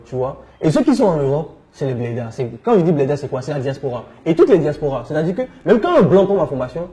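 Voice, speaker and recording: A man with a West African French accent, answering conversationally as an interview guest, telling his experience in an animated way. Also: on the high side, quick pace. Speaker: interview guest, entrepreneur and coach.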